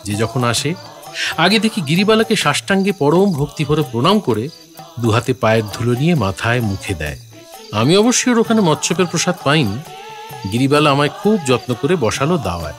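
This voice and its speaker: Expressive male storyteller. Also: a little high, brisk. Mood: descriptive, calm. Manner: literary, reflective, expressive. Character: thoughtful gentleman writer narrating his encounters, curious and courteous. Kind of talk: scripted story narration with acted dialogue. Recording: faint music behind the voice.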